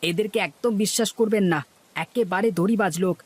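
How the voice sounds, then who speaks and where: A man's voice: high-pitched, fairly quick, slightly rough. A man voicing an indignant, distrustful village customer in a cartoon. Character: indignant village customer shocked by high prices. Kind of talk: Bengali cartoon voice acting.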